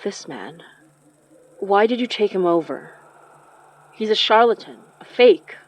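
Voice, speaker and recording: An actress playing a stubborn, protective young magic practitioner in an audio drama, earnest and confrontational. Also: female voice, mid-range voice, animated, unhurried. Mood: accusing.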